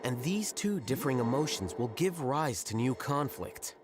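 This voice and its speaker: A man voicing a composed, cerebral young scholar, measured and coolly confident, in an anime video game. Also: mid-range voice, sing-song, very noisy, lightly acted. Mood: calm confidence. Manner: measured, unruffled, precise. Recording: music behind the voice.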